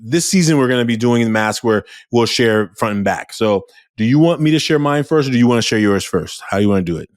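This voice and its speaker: A man hosting an interview podcast, talking in a loud, animated way with frequent restarts. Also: mid-range voice, fast, no room sound, clean studio sound. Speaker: podcast host. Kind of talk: casual interview chat.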